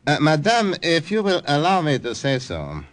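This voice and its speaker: An actor playing a stern, suspicious commandant with a formal, authoritative manner in an old radio drama. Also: male voice, fairly high pitch, wildly swooping pitch, moderate pace. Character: stern, suspicious male German military commandant.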